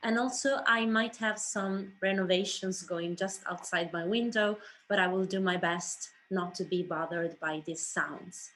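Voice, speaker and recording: A woman's voice: lowish voice, unhurried, hardly any pauses, fairly bright tone, slight background noise. A woman speaking English as a second language, telling a story expressively to an audience in a theatrical way. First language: not English. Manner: theatrical, acting out dialogue. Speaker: speaker, writer and director. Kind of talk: expressive oral storytelling in a presentation.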